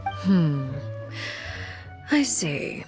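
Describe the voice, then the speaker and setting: An actress playing a cold, poised, commanding woman in a video game, speaking in a measured, formal, veiled and icily polite way. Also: fairly low pitch, big pitch swings, darkish tone, exaggerated voice. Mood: guarded, composed. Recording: music behind the voice.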